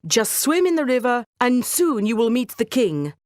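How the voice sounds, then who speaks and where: A woman voicing a clever, confident male cat with a smooth-talking, courtly manner in a storybook tale. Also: a little high, big pitch swings, normal speed, a little mellow, no echo, clean studio sound, pitched above the performer's own voice. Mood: commanding, assured.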